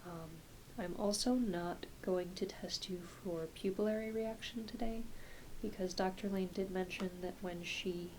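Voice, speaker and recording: A woman playing a gentle, reassuring, slightly scattered doctor in an ASMR medical roleplay, speaking softly and haltingly, often trailing into a near-whisper. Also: mid-range voice, fairly even intonation, natural voice, low-quality recording. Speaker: ASMR performer. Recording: close home recording with clear hiss.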